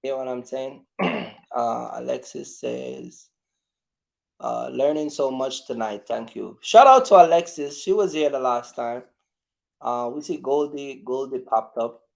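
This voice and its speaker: A man hosting a casual live question-and-answer chat, speaking in a halting, repetitive way and often addressing his audience directly. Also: medium pitch, gravelly. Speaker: livestream host.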